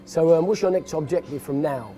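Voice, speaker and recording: Fast-talking interviewer. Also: male voice, a little high, dark tone, faint music behind. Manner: chatty, agreeable, keeps saying yeah. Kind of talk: casual interview. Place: boxing gym, indoors.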